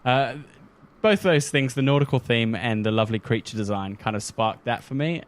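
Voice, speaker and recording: A man with a medium-pitched voice chats as a podcast panelist. He speaks informally and haltingly, thinking aloud as he goes. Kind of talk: casual chat.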